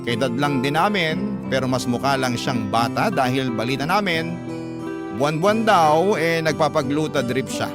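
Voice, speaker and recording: A Filipino man narrates a radio drama in the first person, with expressive, aggrieved storytelling. His voice is fairly high for a man. Loud music runs beneath him.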